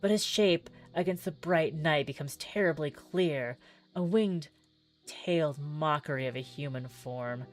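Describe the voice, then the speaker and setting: A woman reads story text from a visual novel aloud in a fairly low voice. Her delivery is theatrical and deliberate, with pauses for effect. Music plays softly underneath her. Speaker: streamer playing a visual novel demo. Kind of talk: dramatic read-aloud of narrative game text.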